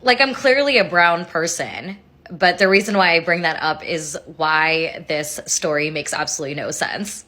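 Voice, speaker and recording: A woman's voice: sits low, normal speed, bright, forceful tone. A woman from New York telling a story to camera in an incredulous, wry way.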